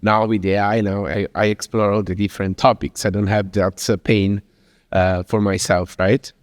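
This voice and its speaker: A man's voice: fairly low pitch, flat delivery, normal speed, clean studio sound. Man, Italian accent, non-native English, chatty presentation, lots of fillers, long hall reverb. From Italy. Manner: chatty, with frequent fillers and restarts. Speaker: speaker at a business talk. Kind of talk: informal presentation to an audience. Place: venue in Lisbon.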